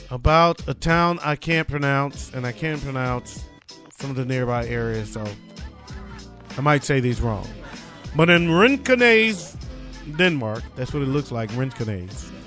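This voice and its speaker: A man's voice: mid-range voice, a bit bright. A man hosting a podcast, reading odd news stories and adding sarcastic, joking asides. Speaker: podcast host. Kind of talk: comic news commentary.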